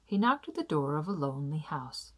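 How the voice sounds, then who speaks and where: A young adult woman reading a children's fairy tale aloud in a deliberate, careful storybook manner. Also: low voice, sing-song, husky. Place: small indoor room.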